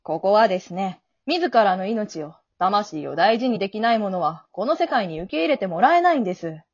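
A woman's voice: medium pitch, very animated, normal speed, breathy edge, highs cut off. A woman acting in an amateur radio drama as a polite, kindly male guide of the afterlife, speaking in an earnest, businesslike, reassuring way. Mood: matter-of-fact.

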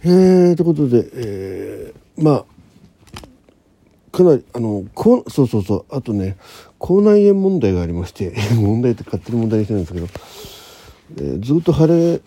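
A man hosting a casual solo radio chat, talking in a halting, rambling way and often correcting himself. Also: medium pitch, very animated, mellow. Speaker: internet radio host.

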